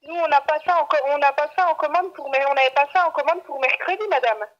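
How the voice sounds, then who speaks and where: Woman, shop employee, high-pitched, brisk, firm, questioning, muffled phone line. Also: a bit bright. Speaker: bakery employee. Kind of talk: unscripted customer phone call. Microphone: telephone. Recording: dull, band-limited phone line.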